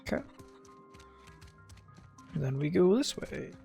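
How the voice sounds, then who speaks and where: A man casually commentates over his own gameplay. His voice has a lot of vocal fry, and his remarks are wry and sarcastic. Faint music plays behind him. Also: a little high, big pitch swings, takes it slowly, mellow. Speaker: gamer streaming a playthrough. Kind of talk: casual game commentary, muttering and reading stats aloud. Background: game music.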